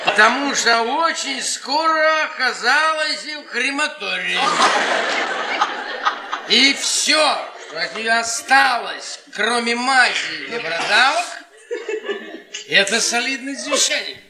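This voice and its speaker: An actor playing a sardonic, beaten-down middle-aged history professor in a radio play, needling with mocking, hesitant phrasing. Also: male voice, very high-pitched, animated, slow pace, a loud crowd, exaggerated voice. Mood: playful, ironic. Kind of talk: radio theatre stage acting. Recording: echoey room, audience noise behind.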